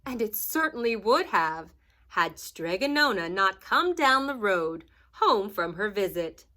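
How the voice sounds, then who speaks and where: A woman's voice: medium pitch, big pitch swings, unhurried, pushed and bright. A woman reading a children's storybook aloud like a teacher, with dramatic emphasis and asides to young listeners.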